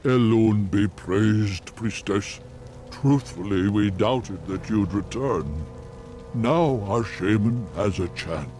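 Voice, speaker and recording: Casting: loyal fantasy-game warrior, lowish, unhurried, reverent, music behind. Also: male voice. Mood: relieved, grateful. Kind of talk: video game cutscene acting.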